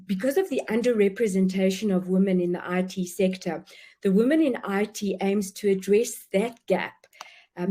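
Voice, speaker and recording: A woman hosting a professional webinar, introducing the guest speaker with many restarts. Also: mid-range voice. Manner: warm, effusive, many restarts. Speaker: webinar host. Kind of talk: event introduction.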